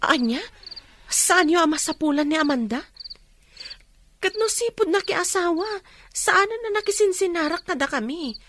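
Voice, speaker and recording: A woman's voice: very high-pitched, lively intonation, moderate pace, pauses often, fairly bright tone, a little hiss or hum. An actress playing a concerned, questioning young woman, a friend, in an Ilocano radio drama. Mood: puzzled. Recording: faint crickets behind.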